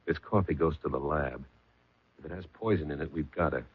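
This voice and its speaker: An actor playing a shrewd, terse police sergeant in a 1970s radio mystery, matter-of-fact and methodical. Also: male voice, rumbling low, a little fast, husky. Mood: grim resolve. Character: shrewd, hard-boiled male police sergeant. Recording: muffled lo-fi 1970s radio recording.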